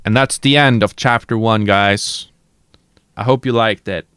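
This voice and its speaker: A man's voice: on the low side, fairly even intonation, a little slow, fairly bright tone, smooth, dry recording. A man reading a novel aloud for his stream audience, narrating dramatically and chatting casually and slangily between passages.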